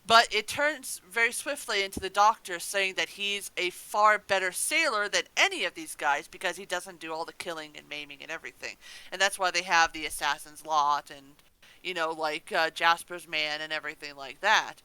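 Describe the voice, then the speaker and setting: A podcast co-host chatting casually and playfully while recapping an audio drama, swearing freely and getting excitable. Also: medium pitch, moderate pace, clear, resonant tone, dry recording, poor audio.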